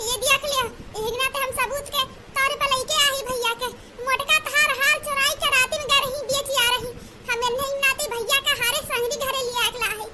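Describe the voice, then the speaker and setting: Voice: extremely high pitch, background noise. A performer voicing a dramatic, scheming village woman in an Awadhi comedy cartoon, theatrical and full of exclamations and complaints. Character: dramatic scheming village women of a squabbling family. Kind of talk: exaggerated comic cartoon dialogue in rural dialect.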